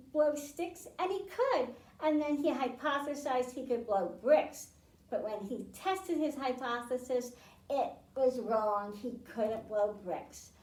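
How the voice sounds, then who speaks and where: A woman librarian hosting a children's storytime and science lesson in a library, speaking slowly and clearly and asking the kids questions. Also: sits high. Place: library room, indoors. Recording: echoey room, mic at a distance.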